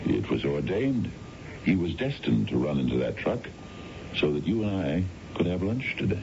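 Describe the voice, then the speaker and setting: An actor playing a courtly, quietly seductive investment adviser, formal and measured, in a 1970s radio drama. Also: male voice, extremely low pitch, big pitch swings, gravelly, background noise, full character voice. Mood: suave, flirtatious. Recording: dull old radio broadcast.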